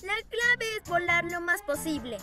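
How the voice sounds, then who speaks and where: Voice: extremely high pitch, big pitch swings, unhurried, heavily acted. An actor voicing a spirited, bossy young girl hero in a Latin American Spanish cartoon dub, knowing in manner. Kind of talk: animated cartoon dub acting. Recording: loud music under the voice.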